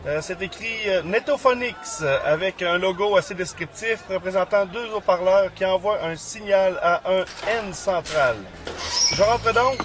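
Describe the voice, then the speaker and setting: A man presenting a podcast news segment, rattling off a list in a quick, chatty way with frequent fillers. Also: high voice. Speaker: podcast columnist.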